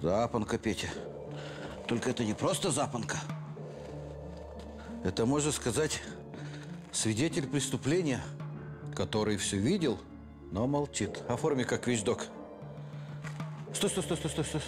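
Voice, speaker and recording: A man's voice: mid-range voice, animated, natural voice. A man playing a shrewd, dry-witted investigator. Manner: measured, dry, ironic questioning. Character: shrewd, methodical male investigator, calm and probing. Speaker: prosecutor's investigator. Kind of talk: documentary crime reenactment. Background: background music.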